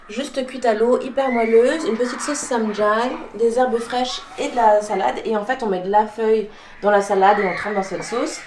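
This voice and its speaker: A woman's voice: fairly high pitch, slight background noise. Chatty French woman vlogging at home. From France. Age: 30s. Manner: chatty, laughing, many fillers. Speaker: vlogger and chef. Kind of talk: casual vlog chat.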